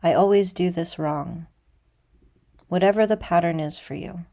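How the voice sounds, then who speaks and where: A woman teaching a guided meditation in a measured, deliberate way, leaving pauses between phrases. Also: on the low side, dark tone.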